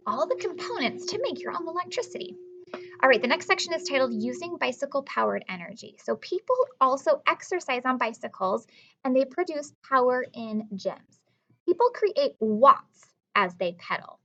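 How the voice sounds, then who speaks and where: A woman's voice: high voice, very animated, moderate pace, a bit bright, muffled recording. A woman teacher reading aloud a lesson for children, explaining simply and encouragingly with questions to her young listeners. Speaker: elementary school teacher.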